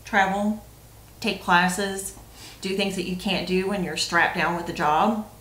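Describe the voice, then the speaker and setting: A roomy echo surrounds this woman in her forties as she co-hosts a casual vlog. Her pitch is average for a woman. She speaks frankly, with emphasis. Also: fairly even intonation, measured pace, a bit bright. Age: 40s. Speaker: vlog co-host reading questions. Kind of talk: casual chat. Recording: roomy, echoey sound.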